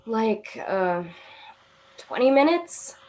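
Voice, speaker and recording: A woman's voice: on the high side, very animated, extremely slow, a little mellow, heavily acted, poor audio. A woman playing a weary, sarcastic young commuter stranded after a missed train. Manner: dry, sarcastic.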